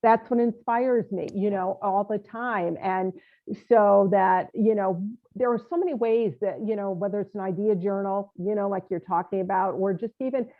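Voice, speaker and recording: A woman's voice: mid-range voice, moderate pace. Brief: older woman host, upbeat and encouraging, heard over a thin phone-like line. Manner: enthusiastic, encouraging, many "you know" fillers. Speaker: podcast host. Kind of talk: casual interview chat.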